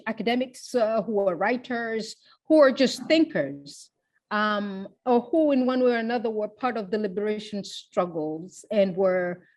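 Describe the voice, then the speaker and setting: A woman with a Tanzanian accent, speaking in a measured, deliberate, explanatory way in a reflective interview. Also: medium pitch, a little mellow. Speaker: interviewee, feminist public intellectual. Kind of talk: reflective oral history interview.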